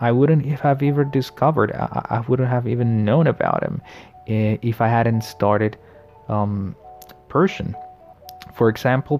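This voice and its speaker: A man in his late twenties hosting a casual solo podcast, talking in a friendly, reflective way, often pausing and restarting his sentences. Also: lowish voice, a little mellow. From the Americas. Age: late 20s. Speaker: podcast host.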